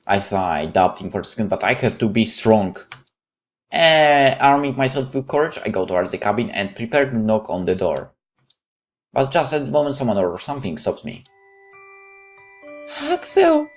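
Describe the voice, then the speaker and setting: A man hosting a gaming video, reading a visual novel aloud with casual commentary and halting, stumbling delivery. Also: lowish voice, animated. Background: music.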